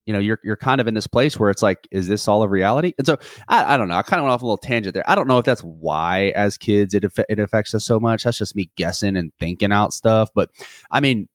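A man's voice: lowish voice, fast, no room sound, studio quality. A man in his twenties talking through a reflective solo podcast, earnest and rambling, with many restarts and fillers. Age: 20s. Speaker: podcast host.

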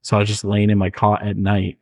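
Deep-voiced young man, joking and dry. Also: quick pace. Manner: relaxed, joking, laughs often. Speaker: podcast host. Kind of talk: casual chat with a friend.

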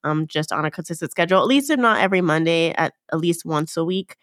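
A woman's voice: low voice, lively intonation, quick pace, no echo, clean studio sound. A woman hosting her own podcast, talking casually to listeners, sounding nasal from a cold and often correcting herself. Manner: chatty and upbeat, fillers and self-corrections. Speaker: podcast host. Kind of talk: casual solo podcast talk.

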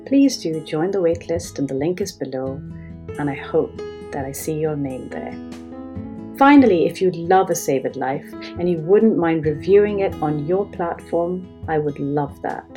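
Low-voiced woman podcast host, laughing often. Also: animated, normal speed, faint music behind. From grew up hearing Urdu. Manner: warm, gushing, laughs often. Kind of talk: conversational interview.

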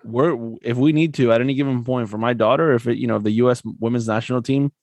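A man co-hosting a casual sports podcast, relaxed and chatty, laughing often and filling pauses with "um". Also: medium pitch, no echo. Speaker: podcast co-host.

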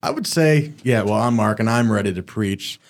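A man in his forties, an associate pastor, speaking in a relaxed, easygoing way. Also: fairly low pitch, moderate pace. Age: 40s. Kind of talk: casual podcast chat.

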